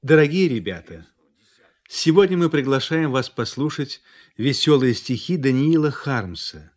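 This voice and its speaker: A man presenting and reciting for children in a deliberate, very expressive way. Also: mid-range voice.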